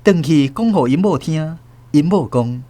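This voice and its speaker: 一位男主持人以台語口音朗讀小說旁白，語調生動。. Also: male voice, on the high side, expressive, measured pace, mellow, dry recording. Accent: Taiwanese Hokkien. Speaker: host and narrator. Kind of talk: scripted storytelling narration.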